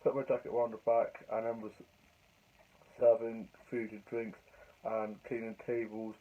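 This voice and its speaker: Croaky young man, tinny sound. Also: fairly low pitch, monotone, unhurried. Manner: chatty, rambling, fillers and restarts. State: croaky from a cold. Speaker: vlogger. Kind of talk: casual diary vlog.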